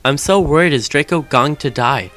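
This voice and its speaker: A man's voice: a little high, moderate pace, fairly bright tone, faint music behind, natural voice. A man voicing a melodramatic, whiny, angsty teenage goth girl in a fan-fiction reading. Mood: moody, dramatic.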